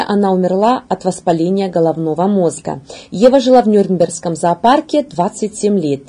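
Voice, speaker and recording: Mellow, husky woman reading the news. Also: medium pitch. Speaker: news presenter. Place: indoor studio.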